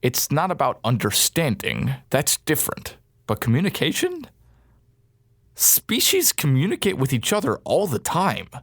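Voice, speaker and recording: A man's voice: on the high side, wildly swooping pitch, slightly rough, no echo, studio quality, full character voice. Domineering male colonel, widely swinging delivery. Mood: cold, menacing. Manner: deliberate, lecturing, rhetorical questions. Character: menacing, domineering male colonel, coldly philosophical villain. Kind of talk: audio drama acting. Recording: loud music behind.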